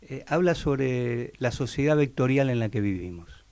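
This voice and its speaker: A man's voice: medium pitch, animated, moderate pace, darkish tone. A man co-hosting a casual, reflective radio chat, hesitant and often seeking agreement. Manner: hesitant, keeps checking with tag questions. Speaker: radio show co-host.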